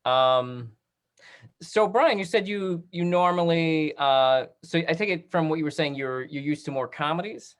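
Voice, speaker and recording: A man's voice: fairly high pitch, a little slow, smooth. A man hosting a casual interview on a video call, affirming and summing up what he hears. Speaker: host of a talk back discussion.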